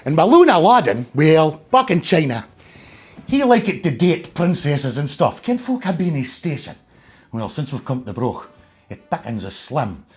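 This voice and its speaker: A man's voice: on the high side, very animated, normal speed, husky. A man playing a chatty, cheeky middle-aged pantomime dame, gossiping in an exaggerated way with a North-East Scottish Doric accent. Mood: gossipy, mock-indignant. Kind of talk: comic pantomime monologue to the audience.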